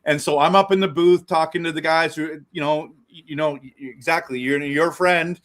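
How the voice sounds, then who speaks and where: A man with a somewhat high voice hosts a casual interview. He talks in an excitable, rambling way and restarts his sentences often. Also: fairly quick. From Canada. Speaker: host.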